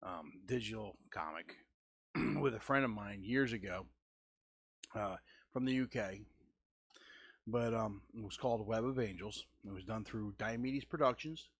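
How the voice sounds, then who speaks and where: A husky man in his forties chats to viewers in a low voice, slowed and deliberate, with regular stammers and restarts. The sound is dry and close, with no room around it. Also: no echo. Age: 40s. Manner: halting, restarts and stammers, deliberate lowered delivery. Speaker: host. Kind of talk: casual art-stream chat.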